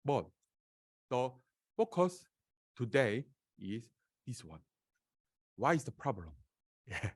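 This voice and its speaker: A man from South Korea with a Korean accent and Korean as his first language, presenting slides at a conference in an auditorium with many halting pauses. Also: medium pitch, sing-song, husky. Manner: halting, many filler sounds and restarts. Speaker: conference speaker.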